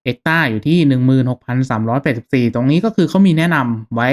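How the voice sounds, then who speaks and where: A man narrating a casual step-by-step software tutorial in an informal, instructional manner. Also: mid-range voice, fast talker. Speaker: tutorial host.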